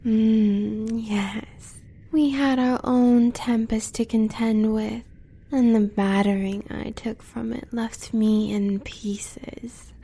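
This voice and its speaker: Woman acting a slow, sultry American werewolf huntress. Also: fairly high pitch, level tone, warm tone. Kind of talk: audio roleplay acting.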